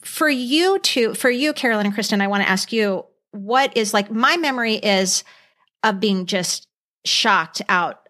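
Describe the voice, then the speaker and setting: Expressive middle-aged woman chatting. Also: medium pitch, measured pace. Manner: emphatic, expressive storytelling. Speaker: podcast host. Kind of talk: casual chat.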